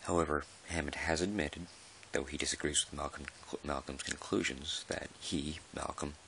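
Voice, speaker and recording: A man playing a measured, dry park employee who dictates an official audio log in a halting, deliberate way, in ASMR roleplay style. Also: a bass voice, level tone, creaky, fairly bright tone, slight background noise, exaggerated voice. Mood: dry, careful. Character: male dinosaur park employee, measured, dryly put-upon record keeper.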